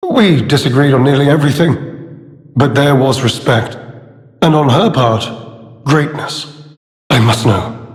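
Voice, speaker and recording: A suave, condescending gentleman of a video game, speaks in a medium-pitched male voice. He draws out his courtesies with pompous, theatrical affectation. The words ring in a long, hall-like reverb. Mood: mournful courtesy.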